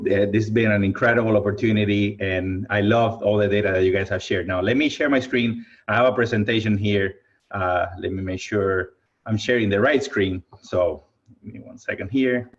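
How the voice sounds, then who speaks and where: A man's voice: on the low side, steady tone, fairly quick. A man from Cuba with a heavy Cuban Spanish accent, giving an enthusiastic keynote presentation over a video call. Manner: enthusiastic, friendly, addresses audience as "you guys". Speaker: keynote speaker. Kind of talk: virtual presentation talk. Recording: muffled lo-fi video call audio.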